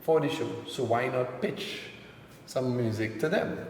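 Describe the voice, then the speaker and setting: A man from Trinidad and Tobago with a Trinidadian accent, chatting casually as an interview guest, relaxed and quick to laugh. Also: mid-range voice. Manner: relaxed, laughs easily, slows down to speak carefully. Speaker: entertainer guest. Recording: echoey room with long reverb, faint noise.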